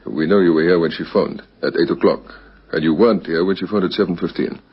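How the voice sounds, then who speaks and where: Radio-drama police captain: deep, brisk, husky, formally probing. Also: male voice, steady tone. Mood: pressing, accusing. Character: calm, relentless male police captain detective. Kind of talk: old radio crime drama acting. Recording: muffled vintage radio recording.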